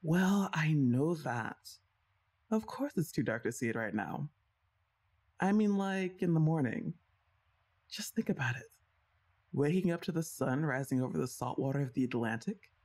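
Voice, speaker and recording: A woman's voice: low voice, expressive, normal speed, pauses often, a little mellow, husky. Woman playing a chatty, teasing older sister. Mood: playful. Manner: chatty, teasing, laughs often. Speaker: voice actress. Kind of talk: ASMR roleplay addressed to the listener.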